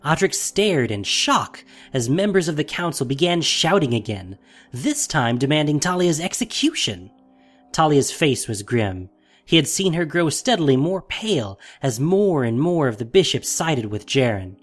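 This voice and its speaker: A man narrating a scripted audio drama in an expressive, theatrical manner. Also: on the high side, normal speed, soft background music.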